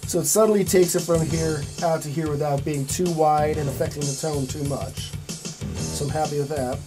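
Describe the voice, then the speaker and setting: A middle-aged man with a slightly high, soft-edged voice leads an informal tutorial. He explains each step in a plain, folksy way, as if from a small home studio. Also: fairly even intonation, moderate pace, music in the background. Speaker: host of music recording tutorial.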